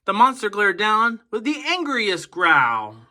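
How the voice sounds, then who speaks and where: A man reading a children's picture book aloud in an expressive, emphatic storytelling manner. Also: sits high, slow. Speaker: storybook reader on a children's channel.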